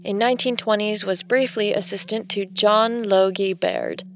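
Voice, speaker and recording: A woman's voice: medium pitch, steady tone, normal speed, gravelly, slight background noise, lightly acted. An actress playing a determined, haunted woman artist in an audio drama, speaking earnestly and confidingly. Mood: haunted, reflective. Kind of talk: audio drama acting with first-person narration.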